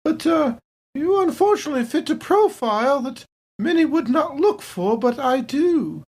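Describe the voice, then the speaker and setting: A woman playing a suspicious, smooth-talking female space station agent with arch, hesitant politeness in a tabletop game. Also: high-pitched, expressive, normal speed, a little mellow. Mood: politely menacing. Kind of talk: improvised tabletop roleplay.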